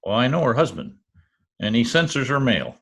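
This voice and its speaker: A man playing a wisecracking private detective in an old-time radio play, with dry, deadpan quips. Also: medium pitch, normal speed, creaky, slightly rough, full character voice. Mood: wry. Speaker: actor in an amateur radio-play reenactment. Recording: remote home recording over video call.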